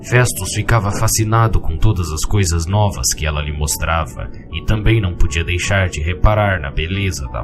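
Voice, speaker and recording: A man with a Brazilian accent narrating a scripted storybook tale. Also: low voice. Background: music with guitar.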